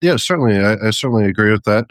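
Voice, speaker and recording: A man in a casual conversation who speaks hesitantly, with many pauses, fillers and small laughs. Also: fairly low pitch, lively intonation, dry recording, studio quality. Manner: hesitant, many ums and restarts, light laughs.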